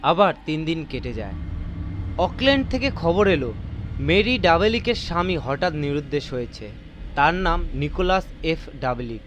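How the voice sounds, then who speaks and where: A man's voice: fairly high pitch, very animated, moderate pace, a bit bright, a little hiss or hum. A man narrating a mystery story in a theatrical, suspenseful, scripted style. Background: faint music.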